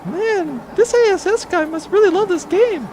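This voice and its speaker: A woman playing a brisk, exasperated space pirate crew member, blunt and incredulous, in a comedy audio drama. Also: very high-pitched, expressive, noisy background. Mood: incredulous, amused.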